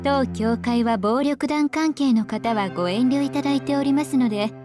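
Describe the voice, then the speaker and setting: An actress playing a prim, courteous nun in a visual novel, formal and composed with a barbed politeness. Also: female voice, high-pitched, quick pace, natural voice. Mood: politely stern. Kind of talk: Japanese visual novel voice acting. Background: game music.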